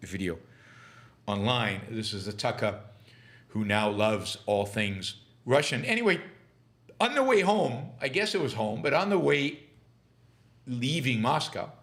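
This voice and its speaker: A middle-aged man hosting a political commentary show, speaking in a halting way with many fillers and restarts, and turning scornful and sarcastic. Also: medium pitch, lively intonation, fairly bright tone. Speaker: podcast host.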